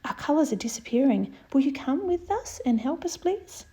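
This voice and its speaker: A woman's voice: sits high, very animated, moderate pace, warm tone, pitched above the performer's own voice. A woman voicing a young, polite, earnest princess in a children's storybook, with a courteous, imploring delivery. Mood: urgent pleading.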